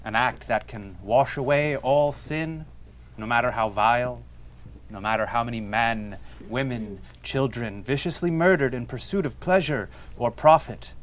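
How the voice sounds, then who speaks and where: A stern male accuser in a stage drama, played by an actor, with a medium-pitched voice. He is sardonic and measured, mocking and pointed as he addresses the condemned. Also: lightly acted. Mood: scornful. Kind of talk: theatrical stage acting. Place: theater stage before an audience.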